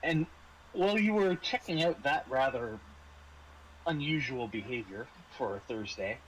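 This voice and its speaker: A man running a tabletop game as game master, narrating casually and haltingly with dry, understated humour. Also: mid-range voice, animated, a bit bright, slight background noise, low-quality recording.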